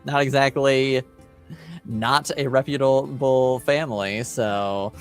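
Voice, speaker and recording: A man improvising narration as game master of a tabletop roleplaying game, halting as he searches for words. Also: mid-range voice, fairly even intonation, smooth, soft background music.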